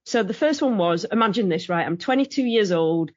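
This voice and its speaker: A woman's voice: mid-range voice, expressive, fairly quick, dry recording, dull audio. A woman, a guest on a podcast, talking casually and telling stories in a friendly way. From United Kingdom. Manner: friendly, laughs easily, storytelling. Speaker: guest, founder and CEO. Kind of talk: casual interview chat.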